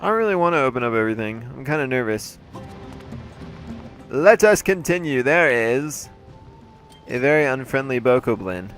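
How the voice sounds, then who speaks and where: A man's voice: mid-range voice, lively intonation, moderate pace, long pauses, a bit bright, soft background music. Playful, congested man commenting on gameplay. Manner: playful, chatty, jokey asides. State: congested, throat a little off. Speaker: let's play host.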